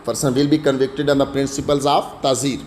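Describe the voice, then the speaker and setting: A man with a Pakistani accent giving an explanatory law lecture in a halting, repetitive, didactic way. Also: mid-range voice, level tone, darkish tone, slight background noise.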